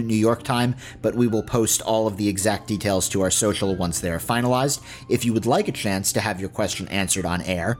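Male podcast host, lowish register, informal announcement read, light music bed. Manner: chatty, informal, with emphatic repeats. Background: faint music.

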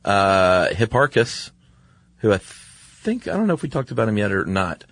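A man's voice: on the low side, big pitch swings, moderate pace, a bit bright, no room sound. A man co-hosting a podcast in an informal, easygoing, conversational style. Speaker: podcast co-host. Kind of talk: casual conversational podcast chat.

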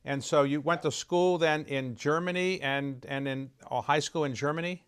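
A man interviewing a guest, asking direct, measured questions. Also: fairly high pitch, fairly bright tone, smooth.

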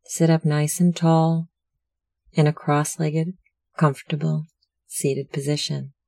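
A meditation instructor narrating a guided meditation in a measured, soothing, instructional manner. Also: mid-range voice, little pitch movement, creaky, mellow, no room sound.

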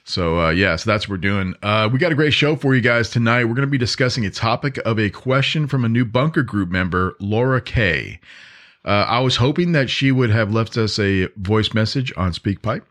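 A man's voice: lowish voice, animated, moderate pace, fairly bright tone. A man hosting a podcast in a casual, easygoing and informal conversational style. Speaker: podcast host.

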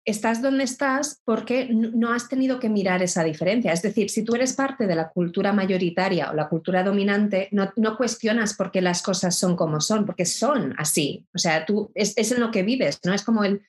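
A woman's voice: medium pitch, moderate pace, hardly any pauses. Woman explaining thoughtfully. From Spain. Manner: thoughtful, explanatory, frequent restarts. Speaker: intercultural educator. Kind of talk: reflective interview talk.

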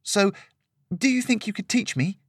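Narrator doing young heroine, pitched well up, lively, earnest and pleading. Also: male voice, normal speed, no room sound, clean studio sound. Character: young female adventurer, earnest, eager.

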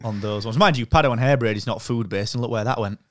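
A man's voice: mid-range voice, fast, heavy background noise. A man co-hosting a podcast in a casual, chummy, jokey way. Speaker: podcast co-host. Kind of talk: casual chat.

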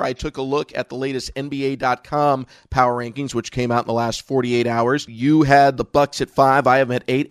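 A man's voice: mid-range voice, dry recording, clean studio sound. A man hosting a sports podcast, talking as a basketball play-by-play broadcaster.

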